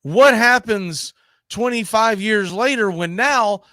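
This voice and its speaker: Man, sports talk host, bright voice, dry close sound, emphatic, casual debate. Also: high-pitched, slow, no room sound. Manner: emphatic, argumentative, uses rhetorical questions.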